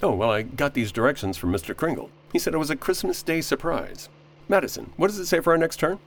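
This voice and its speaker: A man's voice: a little high, lively intonation, quick pace. A man playing an earnest, persuasive, kindly lawyer in a holiday radio play. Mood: concerned.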